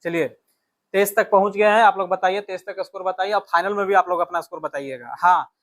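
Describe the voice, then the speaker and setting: A man's voice: high voice, fast, a bit bright. A man with an Indian accent giving an instructional exam lesson as a teacher, repeating key phrases and checking in with short tags. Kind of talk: physics exam lesson, working through questions.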